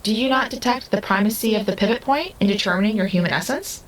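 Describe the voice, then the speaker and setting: A woman's voice: mid-range voice, fast talker, natural voice, rough recording. A woman playing a young otherworldly being who speaks earnestly in formal, stilted, precise sentences in an audio drama. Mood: literal, instructive. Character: young otherworldly female being, earnest, literal, curious, restless.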